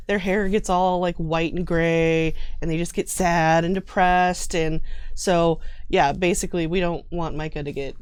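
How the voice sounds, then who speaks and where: A woman with a slightly low voice talks in a casual, giggly way, like a player telling friends about a tabletop game. Her sound is dry, with very little room in it. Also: steady tone, unhurried, clean tone, no room sound. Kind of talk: casual chat describing a game character.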